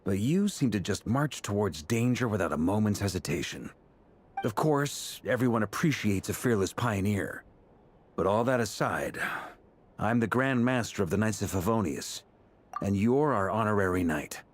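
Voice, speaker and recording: An actor playing a steady, commanding knight grand master in a video game, speaking with measured authority. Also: male voice, fairly low pitch. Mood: earnest, concerned.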